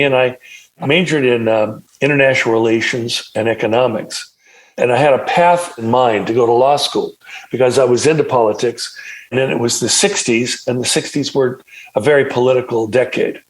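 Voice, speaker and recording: An older man from California, a film director and producer, telling his story in a measured, reflective way. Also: mid-range voice, rough recording. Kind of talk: interview storytelling.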